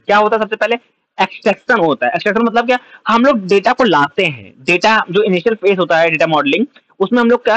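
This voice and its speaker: A man's voice: high voice, a bit bright, no room sound, muffled recording. A man teaching a whiteboard lecture, who explains step by step and asks and answers his own questions. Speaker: computer science teacher.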